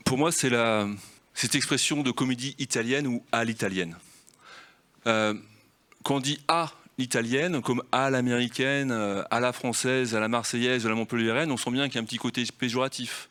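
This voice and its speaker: A man's voice: medium pitch, normal speed. Talkative man leading a film round table. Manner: lively and talkative, explaining with rhetorical questions. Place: festival round-table room.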